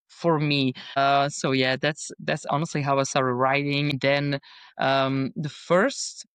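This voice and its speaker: Young non-native English-speaking woman, author guest; smooth clear voice, dry close mic, rambling and casual. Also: low-pitched, dry recording. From Bosnia and Belgium. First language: not English; speaks French, Dutch, Bosnian. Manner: chatty, lots of fillers and restarts. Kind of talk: casual podcast interview.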